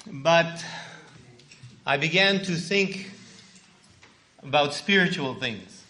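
Man in his sixties, from Canada, bright voice, plain unhurried storytelling. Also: fairly high pitch, expressive. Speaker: guest speaker giving testimony. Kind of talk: testimony sermon with line-by-line interpreting.

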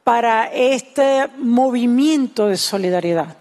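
A woman's voice: a little high, lively intonation, slow pace, a little mellow. A woman interpreting a sermon line by line, earnest and fluent.

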